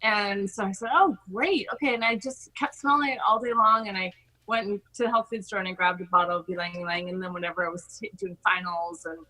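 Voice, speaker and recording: A woman's voice: mid-range voice, fairly even intonation, a little fast, bright, forceful tone, low-quality recording. A middle-aged American woman from Hawaii, chatting effusively and telling personal stories as a podcast guest. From Hawaii, United States. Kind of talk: casual personal storytelling in an interview.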